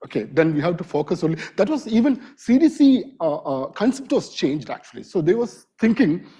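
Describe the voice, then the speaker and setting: A middle-aged man gives an informal, self-deprecating lecture in a hall. His voice is raspy and husky from a bad cold. It sits a little high and sounds dark and mellow. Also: big pitch swings, fairly quick, lo-fi sound. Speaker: invited lecturer, wildlife vet. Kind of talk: academic lecture.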